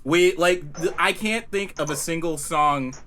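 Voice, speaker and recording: A man's voice: a little high, unhurried, a crowd in the background. A man chatting casually and jokingly as a musician guest in a group Q&A.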